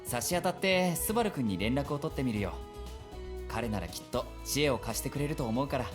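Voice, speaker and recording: A man voicing a genial, sly young police officer in a visual novel game, polite and gently teasing. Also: medium pitch, expressive, very fast, music in the background, lightly acted. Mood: confiding.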